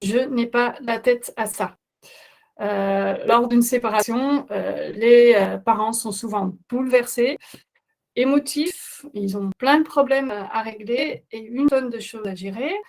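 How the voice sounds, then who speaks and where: A woman's voice: a little high, slow, a little mellow. Earnest woman with a non-native accent. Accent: non-native speaker of French. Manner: hesitant, many filler sounds, earnest. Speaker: parenting coach hosting a webinar. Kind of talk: webinar presentation.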